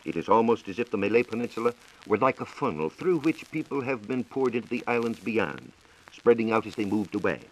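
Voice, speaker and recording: American man, low voice, animated intonation, formal, explanatory, 1940s radio science talk. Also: fairly quick, a little hiss or hum, rough recording. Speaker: science reporter.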